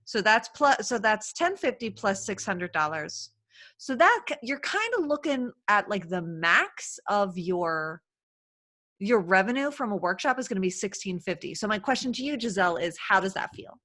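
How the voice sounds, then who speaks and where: A woman's voice: medium pitch, very animated, normal speed, bright, forceful tone. A woman in her thirties hosting a casual live-stream chat, talking loosely with many fillers and laughs. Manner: chatty, laughing, lots of fillers. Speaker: host.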